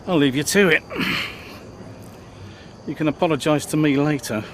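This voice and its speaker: A man's voice: on the high side, moderate pace, a little hiss or hum, natural voice. An actor playing a sardonic male team boss in a game cutscene, dry and scornful in manner.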